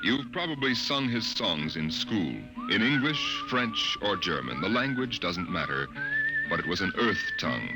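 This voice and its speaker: A man announcing and narrating a 1950s radio drama in a dramatic, measured way. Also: low-pitched, rough recording. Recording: old radio broadcast with music.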